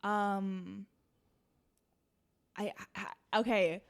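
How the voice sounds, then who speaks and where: A woman's voice: mid-range voice, flat delivery, very slow, gravelly, smooth. Rambling young woman comedian guest. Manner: rambling, full of "like" fillers. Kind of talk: casual podcast chat.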